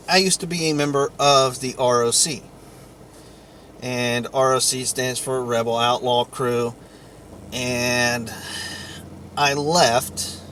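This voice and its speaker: A man giving an informal explainer monologue to viewers in a halting, deliberate way, with long pauses. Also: medium pitch, fairly bright tone, slight background noise. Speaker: vlogger.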